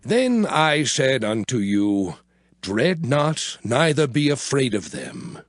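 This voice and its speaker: Solemn elder prophet, declamatory scripture drama, slow with sweeping pitch over prominent music. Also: male voice, wildly swooping pitch, exaggerated voice. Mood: earnest reassurance. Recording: music behind the voice.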